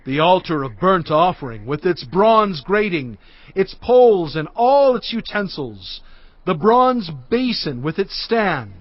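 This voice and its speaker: Moses, a solemn leader proclaiming to his people in an audio drama. The voice sits high and swings widely in pitch. It is recorded dry in a dull studio sound. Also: sing-song, a little slow, no room sound, exaggerated voice. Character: Moses, aged male leader addressing people. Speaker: voice actor. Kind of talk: dramatized scripture reading.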